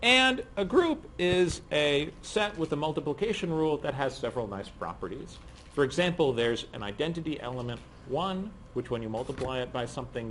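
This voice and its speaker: A man with a cold gives a university lecture in a lecture room, his hoarse voice kept low to save it. His pitch rises and falls in a lively way as he explains, with slight background noise. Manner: speaks softly to save his voice, with frequent ums. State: has a cold, losing his voice. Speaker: physics lecturer.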